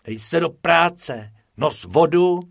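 A man reading aloud puts on a cheeky rabbit who leads his friend around. He sounds eager and bossy, pitched above the reader's usual voice. The sound is dry and close. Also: expressive, creaky, no room sound.

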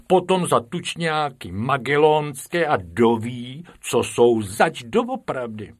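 A man voicing a tired, cross male schoolteacher who shouts shrilly and indignantly. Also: mid-range voice, big pitch swings, pitched above the performer's own voice.

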